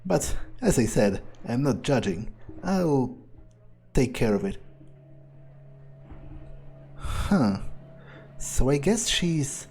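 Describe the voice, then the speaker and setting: A man's voice: mid-range voice, big pitch swings, extremely slow, pauses often, a little mellow, soft background music. A man doing in-character gameplay commentary, thinking aloud in a nonchalant, rambling way with casual swearing. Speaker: let's player.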